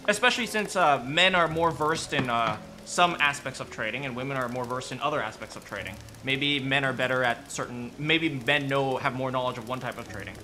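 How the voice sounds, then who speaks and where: A man's voice: mid-range voice, brisk, hardly any pauses, bright, forceful tone, slight background noise. A man chatting casually about game lore, rambling with many restarts and frequent tag questions. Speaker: streamer discussing game lore with chat. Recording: faint music behind.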